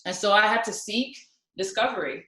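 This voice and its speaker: A woman's voice: mid-range voice, moderate pace, bright, forceful tone. A young woman from South Sudan giving an earnest personal talk at a symposium, with many ums. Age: young adult. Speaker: symposium speaker. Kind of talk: personal talk with recited poem. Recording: echoey room, distant mic.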